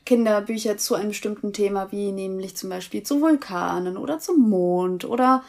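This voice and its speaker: A woman's voice: mid-range voice, normal speed, darkish tone. Chatty self-mocking young woman. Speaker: podcast co-host, publishing staff. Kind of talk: casual podcast chat.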